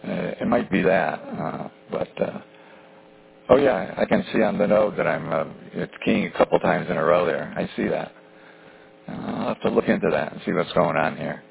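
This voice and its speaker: A middle-aged man with a hoarse voice chatting over amateur radio, easygoing and halting, with many fillers. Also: sits low, slight background noise. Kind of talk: casual radio chat. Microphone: radio transceiver mic. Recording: narrow radio band.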